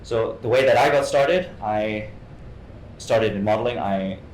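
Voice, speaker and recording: Low-voiced young man with frequent restarts. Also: a little slow, darkish tone. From Hong Kong. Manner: repeats words and restarts often. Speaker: guest actor. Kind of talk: casual interview answers. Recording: roomy sound with faint noise.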